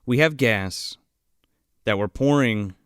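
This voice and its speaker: A man co-hosting a movie podcast, animated and profane, joking through his takes. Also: medium pitch, takes it slowly, smooth, no echo, studio quality. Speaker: podcast co-host. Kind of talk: casual movie-review banter.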